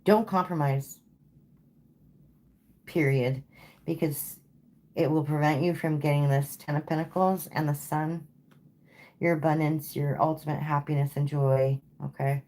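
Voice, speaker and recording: A woman's voice: sits low, fairly even intonation, measured pace, pauses often, warm tone. A woman giving a live tarot reading to viewers, casual and chatty, tired and saying she is losing her voice. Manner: casual, repeats "okay?" and "like". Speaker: tarot reader.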